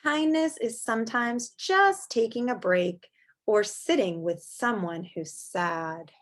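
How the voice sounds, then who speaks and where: A woman's voice: on the high side, slow. A woman teacher reading a picture book aloud to young children, expressively and in simple words, with chatty asides.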